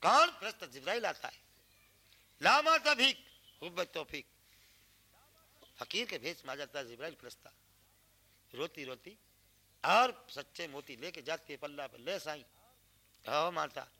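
A man's voice: on the high side, lively intonation, unhurried, pauses often, pushed and bright. A man performing a folk tale as a singer-storyteller, moving between spoken narration and sung verse and posing questions that he answers himself. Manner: asks rhetorical questions and answers them. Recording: cassette field recording with instrumental music.

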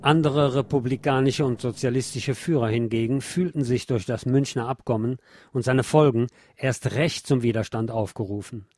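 A man reading scripted historical documentary narration in a formal, measured manner. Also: medium pitch, a little mellow. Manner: formal, measured read delivery.

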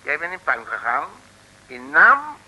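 An actor playing a cheeky, grumbling working-class Dutch detective in an old comic radio serial, blustering in slang. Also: male voice, a little high, huge pitch swings, measured pace, sharp tone, husky, full character voice, low-quality recording. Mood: bossy, brash. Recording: old radio recording with faint noise.

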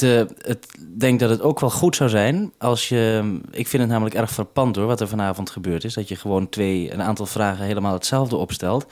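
A man's voice: fairly low pitch, a little mellow. A man talking unscripted as a radio host over a two-way link, businesslike and explanatory with some hesitation.

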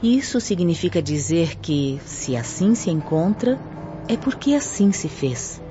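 A woman playing an earnest, authoritative spirit guide in a radio drama, with measured, formal, didactic delivery. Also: fairly low pitch, very animated. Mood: earnest, explanatory. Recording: dull lo-fi audio under clear music.

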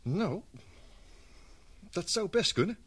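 Male, mid-pitched and raspy, as a courteous, cautious technical expert; considered radio drama delivery, varied intonation. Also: very animated, slow pace, a bit bright, exaggerated voice. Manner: careful, considered. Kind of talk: radio play acting in Dutch.

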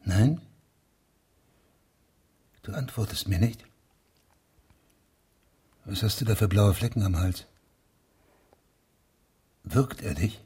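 An actor playing a grave, persistent investigating judge in a German radio play, questioning insistently in a husky, breathy voice. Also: male voice, fairly low pitch, takes it slowly, pauses often, mellow, heavily acted. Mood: quietly insistent.

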